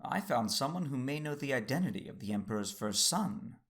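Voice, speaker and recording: A man playing a calm, teasing Sith husband in an audio drama, speaking in an unhurried, wry way. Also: mid-range voice.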